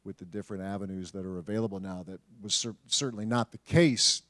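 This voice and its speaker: A man with a lowish voice talks off the cuff as a broadcaster on a panel. His phrasing is measured and a little halting. The sound is close and dry. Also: a bit bright, no room sound. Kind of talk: unscripted panel talk.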